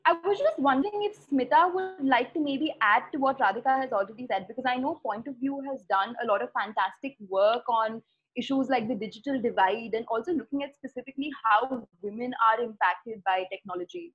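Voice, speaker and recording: Young woman moderator, high pitch, polite, earphone mic, muffled call audio. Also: a little fast, slightly rough. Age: young adult. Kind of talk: webinar moderation. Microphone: earphone mic on a video call. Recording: dull video call audio.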